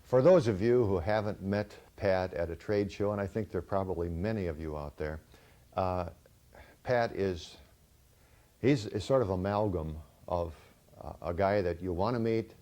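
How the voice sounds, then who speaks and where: Deep mellow guy hosting a tv show, chatty, some ums. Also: normal speed. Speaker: host.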